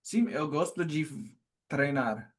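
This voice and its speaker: Hesitant man answering slowly. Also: a little high, lively intonation. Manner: hesitant, with many fillers and pauses. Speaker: language learner being interviewed. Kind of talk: casual interview answers.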